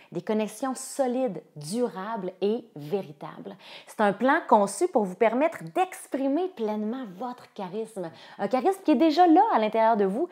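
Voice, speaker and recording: A woman's voice: mid-range voice, darkish tone. A woman in her thirties with a Quebec French accent, a coach sharing personal stories and presenting a course to viewers. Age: 30s.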